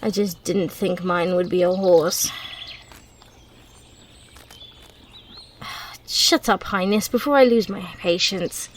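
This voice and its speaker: A woman's voice: medium pitch, darkish tone, a little hiss or hum, pitched below the performer's own voice, natural voice. A woman playing a dry, teasing female thief. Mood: exasperated, wry. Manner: dry, teasing, mock-impatient. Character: gruff, teasing female thief, grudgingly fond. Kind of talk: intimate ASMR roleplay acting.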